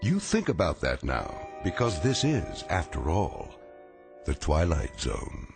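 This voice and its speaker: An actor as the wry, ominous narrator of an eerie radio drama, speaking in a measured, theatrical way. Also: male voice, extremely low pitch, wildly swooping pitch, vocal fry, over loud music, heavily acted. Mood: wry, portentous.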